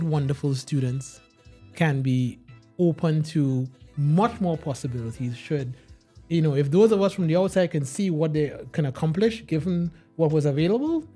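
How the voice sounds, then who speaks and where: A man's voice: fairly high pitch, dark tone. A man hosting a podcast in an earnest, upbeat way, laughing easily. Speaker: podcast host.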